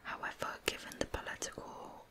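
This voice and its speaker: Whispering woman, ASMR true crime host. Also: brisk. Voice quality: unvoiced whisper.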